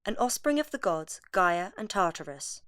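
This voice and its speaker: A woman reading scripted narration in a measured storytelling manner. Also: lowish voice, big pitch swings, fairly bright tone, clear, resonant tone, no room sound, studio quality. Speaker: narrator of a monster segment.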